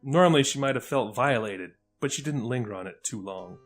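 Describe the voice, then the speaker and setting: Man, audio drama narrator, medium pitch, scripted storytelling, faint music underneath. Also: lively intonation, a little fast.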